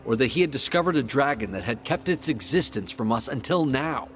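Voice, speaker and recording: Man acting earnest mid-pitched fantasy hunter. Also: expressive, fairly quick, soft background music, lightly acted. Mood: indignant. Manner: earnest, insistent, formal. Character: earnest, loyal male hunter, firm defender of a comrade. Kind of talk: dramatic fantasy game acting.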